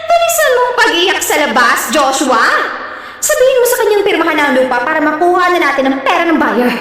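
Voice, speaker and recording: Echoing, high-voiced greedy scheming aunt. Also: female voice, big pitch swings, moderate pace, fairly bright tone, full character voice. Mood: gloating. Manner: gloating, bossy. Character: greedy, cackling scheming aunt, older woman. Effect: echoing inner-thought voice. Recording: very echoey, distant, music behind.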